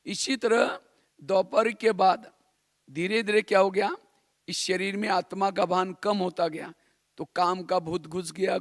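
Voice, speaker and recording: A man's voice: high voice, dry recording, clean studio sound. A man from India giving a spiritual class in a didactic way, repeating phrases and posing rhetorical questions. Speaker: spiritual teacher.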